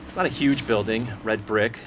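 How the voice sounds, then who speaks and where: An American actor playing a skeptical paranormal investigator who hosts a podcast, speaking in a casual, confiding, wry way. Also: male voice, medium pitch, moderate pace, fairly bright tone, a little hiss or hum, close to an everyday voice. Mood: uneasy, wry. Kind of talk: scripted narration and field recording in audio drama.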